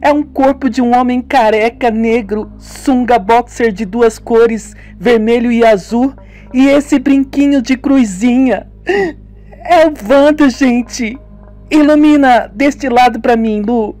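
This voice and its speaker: A man voicing a panicked young woman who is crying, in a high falsetto, in a homemade radio drama. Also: slow pace, mellow, soft background music. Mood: sobbing panic. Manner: shrill, frantic falsetto.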